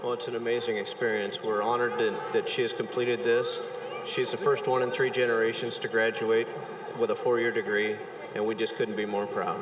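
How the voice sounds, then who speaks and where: Dad at graduation, mid-pitched voice, echoey stadium, crowd noise, proud. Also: male voice, level tone. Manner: proud, quick. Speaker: parent of graduate. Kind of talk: casual interview. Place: outdoor stadium stands. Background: crowd ambience.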